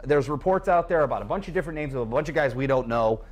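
A young man hosting a studio sports talk show, firing quick questions at his guests on a lapel mic. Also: mid-range voice, expressive. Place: studio set with couches.

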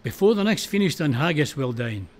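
A man's voice: on the high side, sing-song, a little fast. A wounded Scottish soldier of the Great War, played by a man reciting verse, speaks in a raised voice. He is plucky and dogged, exclaiming his lines with urgency in dramatic poetry. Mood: urgent, rousing.

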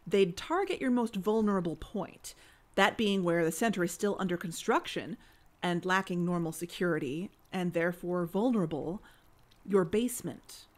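A woman's voice: fairly low pitch, expressive, normal speed, close to an everyday voice. A woman playing a pushy, self-assured investigator in a mock-documentary audio drama, officious and insistent.

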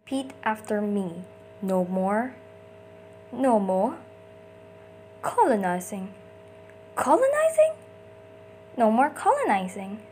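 A woman's voice: fairly high pitch, big pitch swings, slow pace, pauses often, mellow, a little hiss or hum. A woman doing an amateur comic dub, acting out short character lines theatrically in switching voices.

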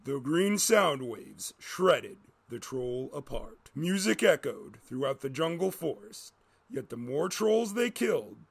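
A man's voice: fairly high pitch, sing-song, slow pace, vocal fry, slight background noise. A man reading a scripted story as a dramatic narrator.

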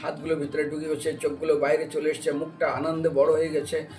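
High-pitched male preacher, distant mic. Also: little pitch movement, fast talker, darkish tone. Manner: explanatory, with rhetorical questions and acted-out dialogue. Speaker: religious preacher giving a devotional lecture. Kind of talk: devotional storytelling sermon with recited scripture verses. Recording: distant microphone with music behind.

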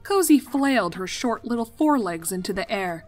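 A woman's voice: mid-range voice, lively intonation, normal speed, faint music behind. A young adult woman reading scripted story narration as the narrator of a fan fiction.

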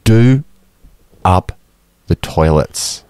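Very deep-voiced man. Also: expressive, drawn-out words, darkish tone, clean tone, dry recording. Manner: storytelling, laughs easily, emphatic. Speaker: podcast co-host. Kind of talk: casual chat.

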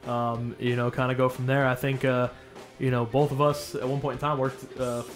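A man's voice: mid-range voice, flat delivery, moderate pace, faint music behind. A married man co-hosting a casual podcast, talking in an easygoing, teasing way. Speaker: podcast co-host. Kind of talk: casual chat. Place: room with co-host.

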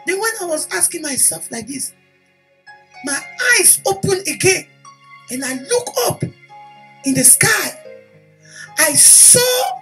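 A woman from Cameroon, a native French speaker with a French-Cameroonian accent, telling a church testimony in earnest, halting English. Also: very high voice, big pitch swings, bright, forceful tone, faint music behind, rough recording. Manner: earnest storytelling with pauses and restarts. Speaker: testimony giver. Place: indoor room at home. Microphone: desk microphone in front.

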